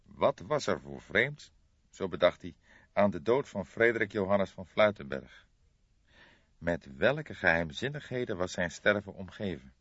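A man reading a detective novel aloud as scripted narration, in a measured storytelling manner. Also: low-pitched, very animated, fairly bright tone, slightly rough. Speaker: audiobook narrator. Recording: dull lo-fi, boxy roomy sound.

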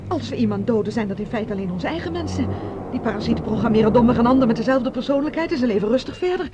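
An anxious fugitive companion in a sci-fi radio drama. The pitch moves animatedly through worried questions and quick reasoning, over loud background noise and effects. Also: male voice, animated, highs cut off. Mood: worried, urgent. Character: worried, cautious fellow prisoner, questioning the plan. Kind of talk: radio play acting.

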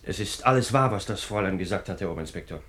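Earnest young man, lowish voice. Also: expressive, racing, background noise. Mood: deferential, nervous. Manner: polite, hesitant, earnest. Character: deferential 24-year-old policeman, naive earnest fiancé. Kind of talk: German radio play acting.

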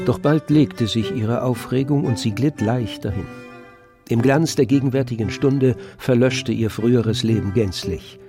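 A man narrating a literary radio drama from a script in a measured storytelling manner. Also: mid-range voice. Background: music with violin.

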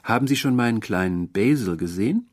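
A man voicing a sweet, doting middle-aged landlady in an audiobook story, cooing and over-familiar with a quietly eerie edge. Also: on the low side, expressive, brisk. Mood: serenely proud.

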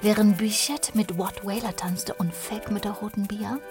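A woman's voice: mid-range voice, steady tone, normal speed, over music. A woman narrating a radio play in scripted literary prose.

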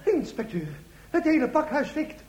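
A man's voice: very high voice, sing-song, moderate pace, a little mellow. A man playing a hapless, timid police brigadier, the deferential sidekick in a comic radio play. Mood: alarmed. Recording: noisy, echoey old radio play recording.

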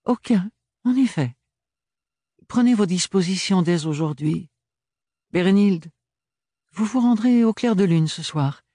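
A man's voice: sits high, lively intonation, unhurried, pauses often, dark tone, dry recording, clean studio sound, pitched above the performer's own voice. A man voicing a smug, ironic male ambassador who talks in an airy, mocking, sugary way. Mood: jovial.

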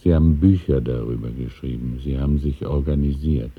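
An elderly man in a 1970s radio drama, courteous, evasive and wistful. His voice is low and husky, and he muses hesitantly. The recording is thin and muffled, like a telephone. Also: fairly quick. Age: older. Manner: hesitant, musing, courteous, with small chuckles.

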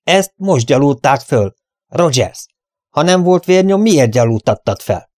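A man's voice: mid-range voice, lively intonation, vocal fry, warm tone, no room sound, studio quality, pitched above the performer's own voice. A man voicing a proud, defiant young cowboy deputy in a western story, with a challenging, declamatory delivery. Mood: outraged.

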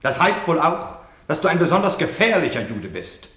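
An actor playing a cold, scornful Nazi officer who harangues and threatens a prisoner in a radio play. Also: male voice, fairly high pitch, very animated, moderate pace, fairly bright tone. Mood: scornful accusing. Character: cold, menacing male Nazi officer taunting his prisoner. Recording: echoey, reverberant room.

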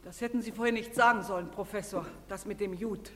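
A woman's voice: mid-range voice, steady tone, brisk, fairly bright tone, lightly acted. Anxious foster mother played by a woman, echoing stage. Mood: reproachful, placating. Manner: worried, placating. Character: anxious, soothing foster mother, gently reproachful. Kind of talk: theatrical stage acting. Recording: reverberant, distant theatre stage recording.

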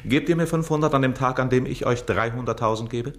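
An actor in a German radio play playing a cunning, brazen servant, roguish and mocking, with a sly theatrical delivery. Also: male voice, medium pitch, fast talker, natural voice. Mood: sly, persuasive.

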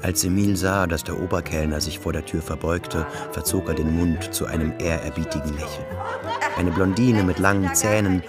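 Low voiced guy narrating a radio play, literary scripted read, music behind. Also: fairly even intonation, moderate pace.

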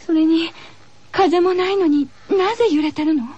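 Woman acting high-voiced, uneasy schoolteacher in horror drama. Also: moderate pace, dark tone. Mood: alarmed, urgent. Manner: addresses her pupil in feminine, instructive speech. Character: female schoolteacher, startled but trying to stay composed. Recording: dull lo-fi radio recording with music.

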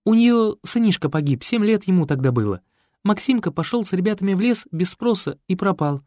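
A man's voice: high-pitched, animated, fairly quick, a little mellow, no room sound, clean studio sound, voice pushed up. A man reading a composed, firm young woman, a priest's daughter, telling her story. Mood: reflective. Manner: composed, commanding.

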